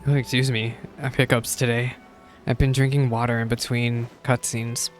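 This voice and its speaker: A man's voice: mid-range voice, flat delivery, normal speed. A man gives casual reaction commentary as he streams a video game. He talks informally along with what happens on screen. Game music runs faintly underneath.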